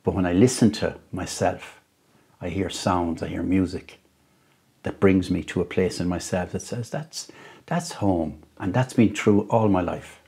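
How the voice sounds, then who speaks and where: Deep, mellow Irish man, slow and thoughtful. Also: breathy edge. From Ireland. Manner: slow, thoughtful, halting with many restarts. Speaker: musician and storyteller. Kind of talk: reflective interview answers. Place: at home.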